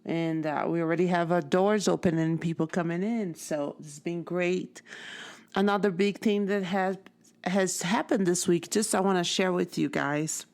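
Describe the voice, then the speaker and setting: A woman's voice: on the low side, steady tone, moderate pace, a little mellow. A woman whose first language is Portuguese, hosting her own podcast in a chatty, storytelling monologue with frequent self-corrections. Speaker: podcast host. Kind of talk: casual motivational monologue.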